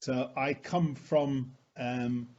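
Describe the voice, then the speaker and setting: A man with an accent mixing Northern Irish, Irish and South African, a veterinary professor giving a friendly, measured webinar presentation. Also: medium pitch, steady tone, darkish tone, lo-fi sound. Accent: Northern Irish, Irish and South African mix.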